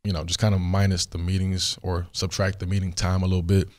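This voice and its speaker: A young man with a hoarse, worn voice, hosting a solo question-and-answer podcast in a studio, talking in a relaxed, rambling way with many fillers. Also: sits low, fairly quick. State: hoarse, recovering voice. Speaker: podcast host.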